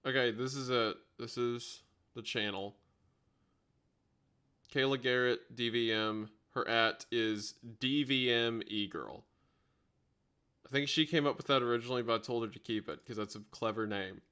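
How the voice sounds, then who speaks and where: Male livestream host, mid-pitched voice, casual and rambling, thinks aloud while multitasking. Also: moderate pace, bright, forceful tone, clean tone. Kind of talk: casual unscripted livestream chat.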